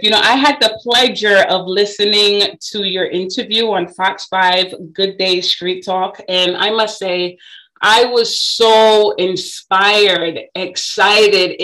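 A woman hosts a podcast interview with a bright, forward voice. She talks in an effusive, admiring way and laughs readily as she introduces her guest. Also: medium pitch, low-quality recording. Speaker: podcast host. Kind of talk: upbeat interview hosting.

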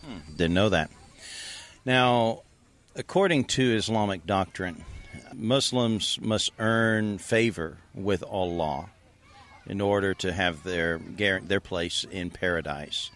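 A man acting as an evangelist interviewer, asking measured, probing questions about faith, with an occasional "uh". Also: deep voice, fairly bright tone. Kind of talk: street interview with scripted intro.

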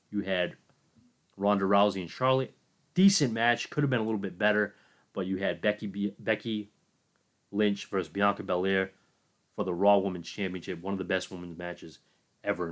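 A man giving an enthusiastic, opinionated solo recap of a wrestling event, talking casually with some fillers. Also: on the low side. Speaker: wrestling commentator.

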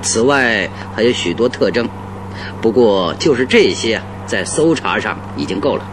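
An actor playing a hearty, triumphant detective in a theatrical Chinese radio drama style. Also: male voice, mid-range voice, lively intonation, moderate pace, background noise, close to an everyday voice. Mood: confident, teasing. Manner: exclaiming, chuckling, theatrical. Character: triumphant, confident male detective, hearty and teasing. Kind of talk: old-time Chinese radio drama acting. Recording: radio drama with music underneath.

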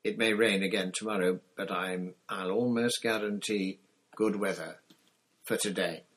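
A man voicing a calm, assured seafaring uncle in a children's story. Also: low voice, unhurried, breathy edge, full character voice. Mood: reassuring. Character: calm, reassuring adult uncle, a seasoned sailor.

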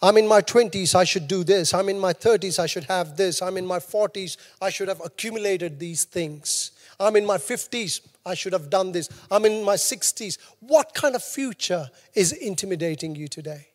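A man's voice: sits high, normal speed, hardly any pauses. A man preaching a sermon as pastor, emphatic and fond of repeating his key lines for effect. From New Zealand. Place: church hall. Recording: long hall reverb.

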